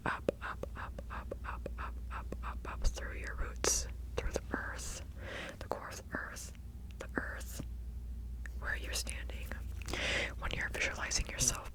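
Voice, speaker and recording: Female ASMR performer, fully whispered and breathy, soothing healer roleplay, gentle repeated instructions, close-miked. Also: low-quality recording. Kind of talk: whispered ASMR roleplay, guided energy visualization.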